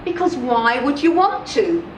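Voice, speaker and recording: High-voiced woman reading a story, slowly. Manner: deliberate, expressive, with measured pauses. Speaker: reader of a short story. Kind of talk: dramatic first-person story reading, live literary performance. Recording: roomy, distant mic, clear background noise.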